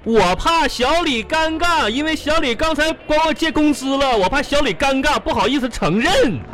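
A man's voice: very high voice, expressive, moderate pace, a bit bright. A man playing a grumbling, evasive husband in a live radio comedy skit, rambling and whining in a Northeastern Chinese Mandarin accent. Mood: nervous meddling. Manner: whiny, rambling, colloquial exaggeration. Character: grumbling, evasive husband spinning excuses to his wife. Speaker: radio comedy host and performer.

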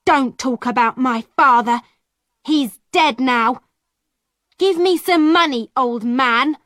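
A woman's voice: animated, takes it slowly, a bit bright, no echo, clean studio sound, exaggerated voice. A woman voicing a bitter, defiant young village girl who begs and then curses. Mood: spiteful. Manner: pleading then spiteful, high-pitched.

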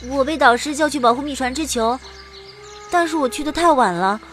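High-voiced, perky young game heroine acted by a woman. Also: a little fast, soft background music, lightly acted. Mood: sheepish. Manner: perky, theatrical, dramatic. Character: cute, cheeky, broke teenage girl game heroine. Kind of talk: audio drama with first-person narration.

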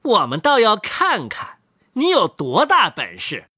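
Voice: mid-range voice, huge pitch swings, unhurried, voice pushed up, heavily acted. A jumpy, whispering thief, as a narrator puts him on. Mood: scoffing. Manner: hushed, anxious. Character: nervous adult male thief. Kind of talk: storybook reading. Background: soft music.

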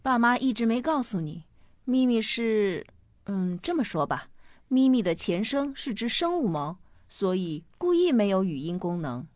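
A woman's voice: medium pitch, sing-song, moderate pace, a little mellow, no room sound, close to an everyday voice. Woman acting a patient mother, dry sound. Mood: patient, explaining. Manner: patient, explanatory. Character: patient, gently explaining mother. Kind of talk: radio drama acting.

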